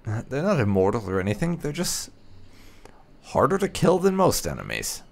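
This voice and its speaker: Male gamer, lowish creaky voice, lively intonation, casual roleplay commentary, faint game ambience behind. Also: normal speed. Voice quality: gravelly.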